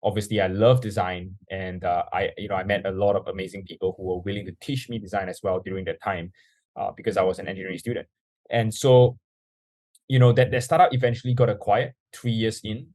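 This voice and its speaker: A man's voice: lowish voice, fairly even intonation, brisk, darkish tone, dry recording, rough recording. A young man from Singapore, telling his story as a podcast guest in an earnest way full of "you know" fillers. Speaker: guest, startup founder.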